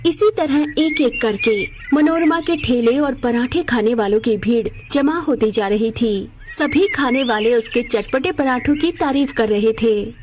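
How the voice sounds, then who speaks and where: A woman with a high voice tells a moral story. She reads it as scripted narration, in the role of storyteller. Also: unhurried, few pauses, a little mellow, a little hiss or hum.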